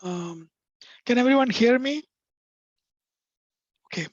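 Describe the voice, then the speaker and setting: Man, non-native accent, high soft voice, halting with fillers, dull dry call audio. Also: animated, dry recording. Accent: non-native English accent. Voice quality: high-pitched, sometimes hard to hear. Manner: hesitant, many fillers. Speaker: vocational rehabilitation presenter. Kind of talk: webinar presentation. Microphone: video call.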